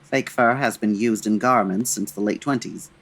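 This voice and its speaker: An actor playing a suave, dry-witted 1940s gentleman detective, urbane and measured, in an old-time radio style. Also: fairly low pitch. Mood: wry, probing.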